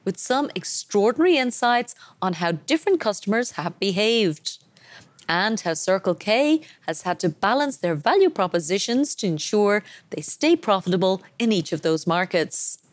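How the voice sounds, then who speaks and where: A woman's voice: mid-range voice, big pitch swings, moderate pace, no echo. Enthusiastic woman podcast host, dry sound. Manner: polished, enthusiastic delivery. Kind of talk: scripted podcast intro and interview.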